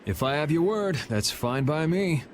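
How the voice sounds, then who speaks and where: A man's voice: fairly high pitch, animated, normal speed, background noise, lightly acted. An actor playing a confident, cheeky young swordsman in a video game, dry and laid-back, with an American accent. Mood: cool, casual.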